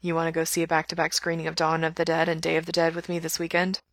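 A shy young male caller acted by a woman narrator in an audio story. He talks quietly and haltingly, with a deadpan, understated manner. The sound is dry and close. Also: low-pitched, dry recording. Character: shy, hesitant young man phoning a radio show.

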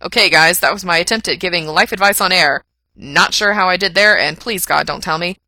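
A woman's voice: fairly low pitch, fairly quick, bright, forceful tone, no echo. A woman voicing a sarcastic, easily flustered male punk radio DJ in a story reading, with blunt, emphatic delivery. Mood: on-air patter. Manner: emphatic, blunt, on-air patter.

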